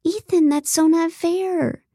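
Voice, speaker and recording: A woman's voice: high-pitched, moderate pace, darkish tone, dry recording, studio quality, voice pushed up. A woman voicing an outspoken, touchy young female castmate in an audiobook, blunt and emphatic. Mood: offended.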